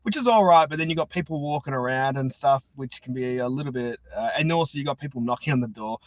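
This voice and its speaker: An Australian man hosting a podcast in casual interview chat, halting and repeating words as he goes. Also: medium pitch, no room sound. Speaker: podcast host.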